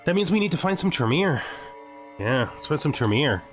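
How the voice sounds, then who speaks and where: A man's voice: fairly high pitch, sing-song, moderate pace, faint music behind. A man hosting a gameplay series, speaking as a narrator and commentator. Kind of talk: gaming commentary with scripted lore narration.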